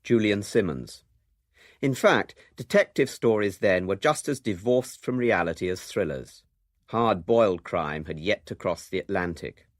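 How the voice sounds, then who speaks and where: A man's voice: on the low side, animated, a little slow, dry recording, studio quality. A British man presenting a scripted radio documentary in a wry, urbane way.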